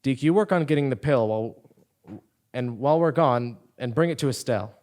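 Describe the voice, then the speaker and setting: A man's voice: mid-range voice, expressive, a little fast, a little mellow, lightly acted. A man playing an exasperated, defiant commoner who leads a scheme, emphatic and incredulous, in a comic stage audio play. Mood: scheming. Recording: live theatre stage recording.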